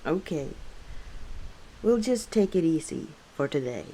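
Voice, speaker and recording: A woman playing an easygoing, teasing female orc tavern owner in an unhurried ASMR roleplay. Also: deep voice, very animated, dark tone, exaggerated voice. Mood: playful. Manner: unhurried, playful teasing with frequent chuckles. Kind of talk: ASMR roleplay, one side of a conversation.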